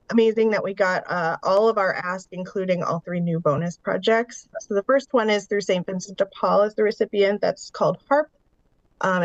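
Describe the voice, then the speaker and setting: A woman's voice: medium pitch, no echo. Congested woman presenting over a dull call. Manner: steady, explanatory walk-through of figures and programs. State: congested, under the weather. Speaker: staff presenter at a county board meeting. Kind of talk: presenting a funding update in a public meeting.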